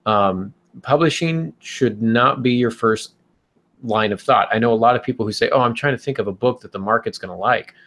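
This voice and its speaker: A man's voice: medium pitch, moderate pace, a bit bright. A man talking conversationally as a podcast guest, giving long-winded advice on writing fiction and thinking aloud as he goes.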